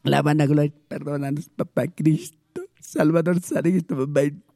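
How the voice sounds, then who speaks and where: A man's voice: on the high side, fairly even intonation, normal speed, mellow, no room sound, studio quality. A man with a Peruvian Spanish accent praying aloud as a pastor, pleading fervently with repeated invocations. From Peru. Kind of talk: fervent spoken prayer.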